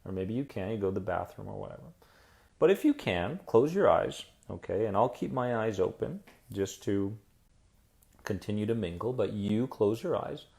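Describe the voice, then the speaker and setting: Man with a gravelly, mellow coaching voice. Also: on the low side, expressive. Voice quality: soothing radio-style voice. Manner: upbeat and encouraging, reads out viewer comments; slow soothing guided-meditation delivery. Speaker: anxiety coach hosting a live stream. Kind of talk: casual live-stream chat answering viewer comments, then guided visualization.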